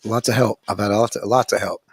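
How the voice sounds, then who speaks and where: A man's voice: on the low side, steady tone, fairly quick, creaky, breathy edge, dry recording. A man chatting casually as a guest, effusive and often repeating his phrases. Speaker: guest panelist with own channel. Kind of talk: casual chat.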